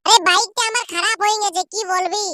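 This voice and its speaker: A performer voicing a brash, slangy young village lad in a comedy cartoon, with a Purulia accent and a squeaky pitched-up voice. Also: steady tone, fast, heavy background noise. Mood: grumbling, scheming. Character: rowdy young village lads squabbling and teasing, cartoon. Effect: pitched-up voice filter. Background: loud music.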